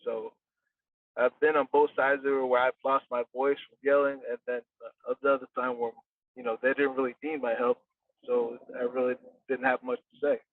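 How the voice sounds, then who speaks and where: A quiet man who speaks softly and haltingly, pausing often on fillers as he explains his coaching. His voice comes over a thin, phone-like line from a distance and sounds dry. It is a casual podcast interview. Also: mid-range voice, little pitch movement, dry recording. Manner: quiet, soft-spoken, halting with many fillers. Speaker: boxing coach, guest. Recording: tinny phone-like line from far off.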